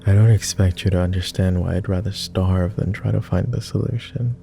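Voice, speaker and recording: A man playing a lonely, gentle vampire who speaks formally and haltingly in an intimate ASMR roleplay. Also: low-pitched, fairly even intonation, creaky, soft background music. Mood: wry, subdued. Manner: formal, old-fashioned wording with halting, hushed delivery. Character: clingy lonely male vampire, courtly, gentle, easily flustered.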